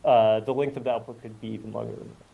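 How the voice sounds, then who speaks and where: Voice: mid-range voice, steady tone, fast talker, gravelly, phone-quality sound, crowd noise. A university lecturer giving a recorded class and patiently walking students through the idea, with questions along the way.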